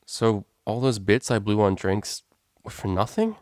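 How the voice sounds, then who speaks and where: A man's voice: fairly low pitch, measured pace, darkish tone, no echo, studio quality, close to an everyday voice. Man playing a pushy, shady young stallion. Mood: indignant.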